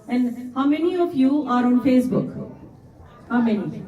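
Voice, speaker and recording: A woman's voice: high voice, expressive, a little slow, phone-quality sound. A middle-aged woman whose first language is Urdu, giving an earnest talk to a women's gathering and often adding "right?". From Canada resident. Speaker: community activist speaker. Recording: echoey hall, voice from far off.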